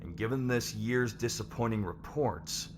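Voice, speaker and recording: A man playing a stern, businesslike lord on a company board, firm and measured, in an ASMR roleplay. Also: on the low side, a little hiss or hum, natural voice. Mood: grave, firm. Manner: measured, firm, matter-of-fact.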